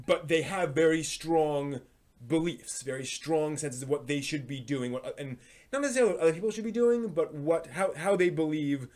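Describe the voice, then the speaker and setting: A man's voice: fairly high pitch, darkish tone. A man hosting a live stream, talking casually and thinking aloud, often breaking off and rewording as he goes.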